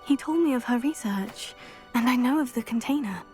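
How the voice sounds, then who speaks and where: A woman's voice: on the high side, normal speed, a little mellow, faint music behind, lightly acted. A woman playing an earnest young witch in a video game, polite, composed and inquisitive. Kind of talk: video game cutscene acting.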